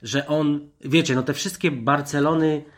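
A man talking through football opinions as a podcast panellist, in a halting, digressive way with many self-corrections. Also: fairly high pitch, fairly even intonation. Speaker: sports journalist and podcast panellist. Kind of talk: animated football discussion.

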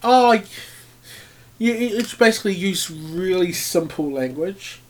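A man co-hosting a podcast, halting and easily amused, often restarting his sentences. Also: high voice, animated. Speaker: podcast co-host. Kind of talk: casual podcast banter.

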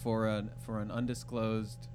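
A man hosting a podcast in casual interview chat, with informal, halting, joking phrasing. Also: lowish voice, level tone, darkish tone, slight background noise. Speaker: podcast host.

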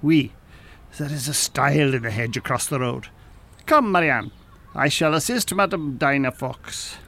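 A grandiose French professor and scheming scientist for a comedy radio play. His voice sits notably high for a man. He speaks with a French accent and theatrical pomp, dropping French phrases as he goes. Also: a little slow, bright, forceful tone, exaggerated voice. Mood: brisk, insistent. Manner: pompous, theatrical, peppers speech with French. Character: pompous, scheming French professor and time-travelling mad scientist. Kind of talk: comedy audio drama acting.

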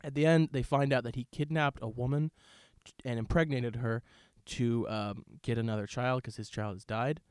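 A man's voice: mid-range voice, fairly even intonation, normal speed, few pauses, a little mellow, smooth, dry recording, clean studio sound. A young adult man in casual, bantering conversation as a podcast co-host. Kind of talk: casual banter and film chat.

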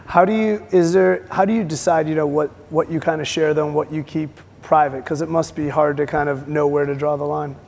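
A man with a raspy voice, interviewing a guest on a conference stage and restarting his phrases as he goes. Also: a little high, fairly even intonation, a little fast, hardly any pauses, a little mellow, slight background noise. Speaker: interviewer, venture investor. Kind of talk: onstage interview questions. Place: conference stage in a large hall. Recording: long hall reverb.

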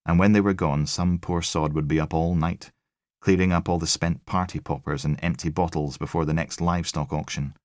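A man's voice: low voice, fairly even intonation, fairly quick, darkish tone, no room sound, clean studio sound. A man reading a crime novel as a scripted audiobook narration.

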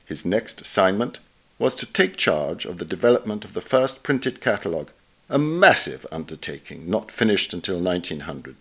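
A man narrating a written essay aloud in a measured, formal way with careful enunciation. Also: deep voice, sing-song, a bit bright, husky. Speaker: narrator reading an article aloud. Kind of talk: scripted narration of a literary essay.

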